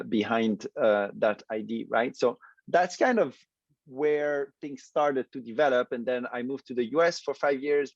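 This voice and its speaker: Brief: mid-pitched male guest from Belgium, casual, dry dull call audio. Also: dry recording. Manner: casual, peppered with you know and right. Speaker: CEO and co-founder. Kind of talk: webinar interview. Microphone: video call.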